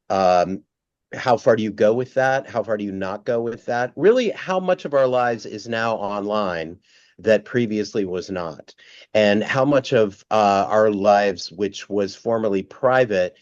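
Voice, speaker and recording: Low-voiced, unhurried man, slightly dull sound. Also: no echo. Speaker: theater director being interviewed. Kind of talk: casual interview talk.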